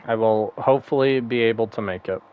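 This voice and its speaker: A man's voice: mid-range voice, brisk, clean tone, phone-quality sound. A man streaming a game, chatting casually and rambling, laughing often and swearing now and then. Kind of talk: casual gaming stream chat.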